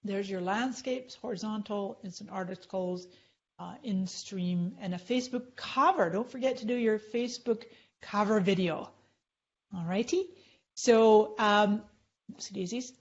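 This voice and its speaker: An upbeat middle-aged woman talks viewers through her screen in a live session, chatty and quick to point things out. Her voice sits at a middling pitch. The stream audio is a little dull. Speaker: social media marketing trainer. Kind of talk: live screen-share tutorial.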